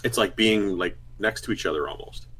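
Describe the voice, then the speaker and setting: A man's voice: lowish voice, fairly quick, a bit bright, husky. A man co-hosting a podcast over remote voice chat, in a joking, playful casual chat. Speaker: podcast co-host.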